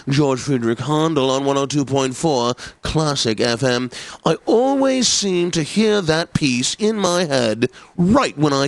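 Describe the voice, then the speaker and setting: An actor playing a pompous, snobbish classical radio DJ for a satirical video game station, with formal, theatrical enunciation. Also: male voice, on the high side, no echo.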